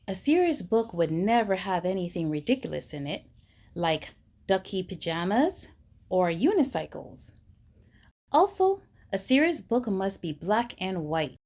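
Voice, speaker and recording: A woman reading a children's picture book aloud, slowly and expressively, with mock-stern emphasis. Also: medium pitch, big pitch swings, warm tone. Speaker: storybook reader.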